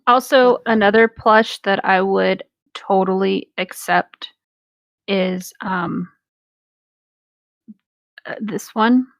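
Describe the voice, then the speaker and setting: A woman's voice: mid-range voice, steady tone, slow pace, creaky, pauses often, no room sound. A woman reading a story aloud among friends, with casual asides. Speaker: co-reader on a group creepypasta reading.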